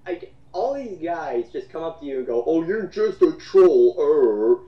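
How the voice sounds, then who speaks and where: Male co-host, expressive and sarcastic, foul-mouthed banter, slightly muffled roomy sound. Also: on the high side, measured pace. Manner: sarcastic, profane, exclaiming. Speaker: co-host reading and mocking online comments. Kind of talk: casual mocking commentary chat. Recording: roomy, distant, slightly dull mic.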